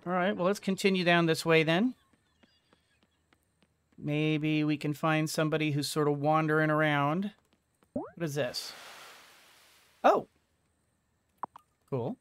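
A man's voice: fairly high pitch, slow pace, pauses often, fairly bright tone, clear, resonant tone. A middle-aged man giving casual let's play commentary, hesitant and musing to himself as he plays. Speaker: gaming video host. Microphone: microphone on boom arm, headset. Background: game music.

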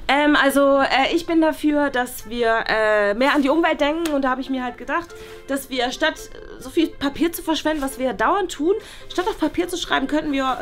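Woman as a easygoing new schoolgirl, higher-pitched, casual, hesitant phrasing, kids' school skit, light music behind. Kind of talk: acted children's school skit.

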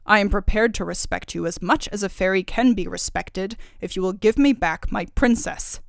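A woman's voice: medium pitch, moderate pace, gravelly, dry recording, studio quality, natural voice. A woman voicing a proud, lovelorn young king in a fairy tale, stubborn and indignant. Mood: defiant.

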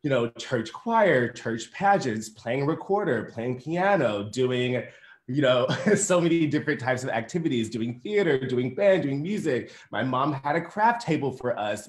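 A man's voice: medium pitch, reverberant. A man from Alabama, a guest designer, presenting his work casually over a video call in a friendly way, with light laughs.

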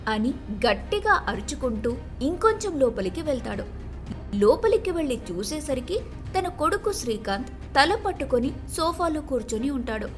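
A woman's voice: sits high, very animated, normal speed, faint music behind. A woman narrating a scripted moral story in storybook style.

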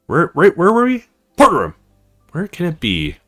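Very lively man doing game commentary. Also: on the high side, unhurried, faint music behind. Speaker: let's play streamer. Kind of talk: casual game commentary and read-aloud of visual novel.

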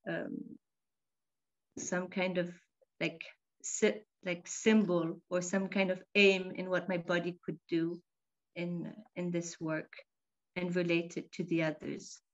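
A woman's voice: on the low side, flat delivery, slow pace, lo-fi sound. A woman performer reflecting on her work at an artist talk, with some fillers.

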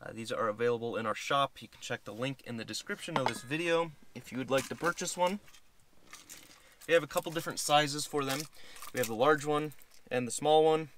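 A man's voice: mid-range voice, a little fast, fairly bright tone. An American man in his thirties giving an easygoing, casual step-by-step how-to demonstration inside a vehicle. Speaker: product demonstrator. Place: inside a parked pickup truck cab. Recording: clear background noise, clicks and handling sounds.

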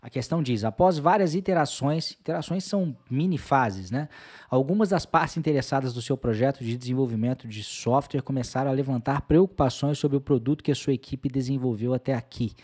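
A man's voice: mid-range voice, fairly quick, a little mellow. A man in his forties with a Brazilian accent, explaining a lesson conversationally as an exam prep instructor, speaking into a close desk microphone. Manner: conversational, frequent "né" tags. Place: small home office, indoors.

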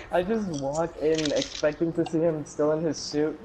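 A man's voice: a little high, background noise. A young man gaming online with friends, teasing and laughing over voice chat while losing his voice. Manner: joking, teasing, laughs often. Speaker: gamer. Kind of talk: casual gaming banter.

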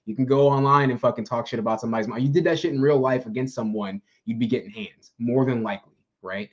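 A man's voice: medium pitch, fast talker, muffled recording. A young man hosting a candid chat over a video call, talking casually and rambling with lots of swearing. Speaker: podcast host, infantry soldier. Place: room at home, gaming chair.

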